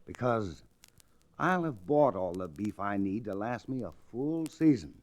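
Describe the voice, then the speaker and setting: An actor with an American accent playing a smug, menacing cattle-buyer villain with an insinuating manner in a radio Western. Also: male voice, fairly low pitch, lively intonation, a little mellow. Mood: threatening. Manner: insinuating, drawn-out threats. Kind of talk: old-time radio Western acting.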